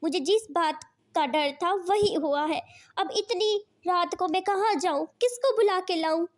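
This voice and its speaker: A woman's voice: extremely high pitch, measured pace. A woman voicing a caring, fretful, admonishing female bird in a cartoon. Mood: worried, scolding. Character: caring, scolding female bird, mother and neighbour.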